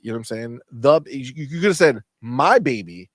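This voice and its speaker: A stuffy-nosed man co-hosting a gaming stream, his pitch jumping all over as he jokes and swears. He sounds close to the mic in a dry room with no echo. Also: normal speed. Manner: animated, swears freely, bursts of laughter. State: stuffy nose. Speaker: gaming podcast co-host. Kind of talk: casual live-stream chat.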